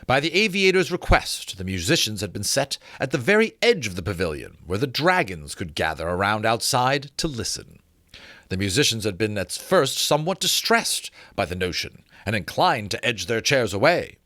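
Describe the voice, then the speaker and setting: A man reading a novel aloud as an expressive, dramatic narrator. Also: on the low side, moderate pace, no room sound, clean studio sound. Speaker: audiobook reader.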